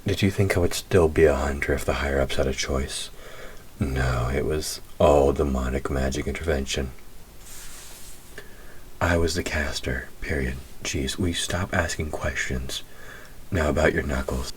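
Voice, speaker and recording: A man playing a wry demon hunter who is himself a demon, teasing yet protective, speaking intimately and with measured sarcasm in an audio roleplay. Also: rumbling low, slight background noise. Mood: guarded, dry. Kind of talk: scripted audio roleplay spoken to the listener.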